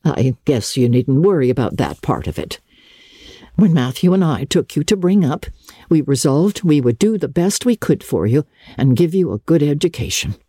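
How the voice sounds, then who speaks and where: A woman voicing a stern, practical older female guardian, brisk and no-nonsense, in a dramatic storybook reading. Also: low voice, big pitch swings, mellow, husky, no echo, studio quality, full character voice. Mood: practical, reassuring. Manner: brisk, matter-of-fact, no-nonsense. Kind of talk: dramatic audiobook reading of a classic novel.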